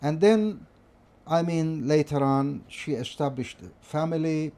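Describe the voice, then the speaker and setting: A middle-aged man from Lebanon with a Lebanese accent, a professor recalling his family history and studies in an interview, speaking in a measured, hesitant way with many fillers and restarts. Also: a little high, warm tone. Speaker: guest, political science professor. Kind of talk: interview, personal recollection.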